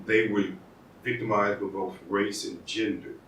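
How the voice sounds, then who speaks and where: American man, law professor in an interview, lower voice, thoughtful, restarts often, roomy echo, set back from the mic, faint room hiss. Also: fairly even intonation, a little slow, a bit bright. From United States. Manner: thoughtful, with frequent restarts and repeated words. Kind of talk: conversational interview. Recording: echoey room, mic at a distance.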